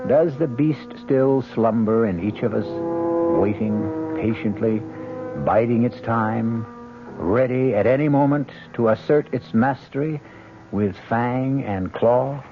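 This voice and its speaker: Portentous radio mystery host. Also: male voice, mid-range voice. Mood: grave. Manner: deliberate, portentous, rhetorical questioning. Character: grave philosophical narrator of a macabre tale. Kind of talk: dramatic scripted radio narration. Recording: dull 1970s radio recording, music behind.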